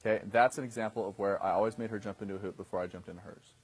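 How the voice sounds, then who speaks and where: Man, thirties, instructor, low-ish voice, husky, casual, keeps checking in with the class. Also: fairly even intonation, rapid-fire. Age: 30s. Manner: conversational, often checks in with "okay?". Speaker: dating coach instructor.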